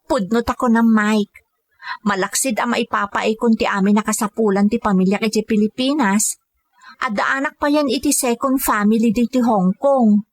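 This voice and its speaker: A woman's voice: a little high, moderate pace. A woman with an Ilocano accent playing a devoted, grateful daughter working abroad as a caregiver in a radio drama, speaking earnestly and respectfully. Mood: earnest, weary.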